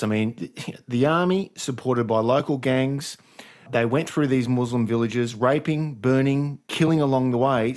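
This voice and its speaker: A man's voice: medium pitch, darkish tone. A man hosting a radio interview program, asking pointed, probing questions and wrapping up the show.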